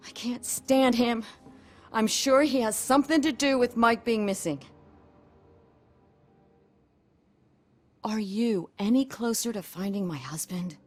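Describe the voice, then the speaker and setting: An actress playing a worried, bitter wife in a video game, talking in a blunt, plain-spoken way. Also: female voice, on the high side, moderate pace, soft background music, lightly acted. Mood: bitter, exasperated.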